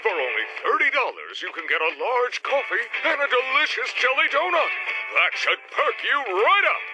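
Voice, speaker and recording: An actor playing a perky, eager coffee-shop mascot server in a retro radio advert, with exaggerated cheer. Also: male voice, very high-pitched, sing-song, moderate pace, poor audio. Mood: chirpy, helpful. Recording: music bed behind voice.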